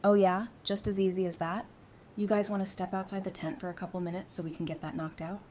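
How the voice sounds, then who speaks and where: Wry, teasing woman as a quick-witted flirt, noisy recording. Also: level tone, darkish tone, natural voice. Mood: sarcastic. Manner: dry, teasing banter. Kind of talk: scripted audio drama acting. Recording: noisy track with low rumble.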